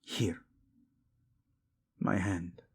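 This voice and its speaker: A man's voice: low voice, level tone, very slow, husky, full character voice. A man playing a devoted, protective prince wracked with guilt, pleading tenderly and intensely in a romantic audio roleplay, often sinking toward a whisper. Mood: haunted, tender.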